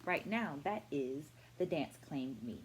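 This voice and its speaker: A middle-aged woman speaking as an educator in a reflective vlog, with deliberate, articulate phrasing and emphatic stresses. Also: low-pitched, big pitch swings, a little hiss or hum. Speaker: vlogger and dance educator. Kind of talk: reflective book-review vlog.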